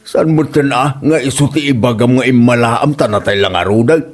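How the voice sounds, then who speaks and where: A man's voice: normal speed, lightly acted. A man playing a playful, teasing father in his fifties in a comedic audio drama. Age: 50s. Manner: playful teasing, big pitch swings. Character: teasing doting father, about fifty.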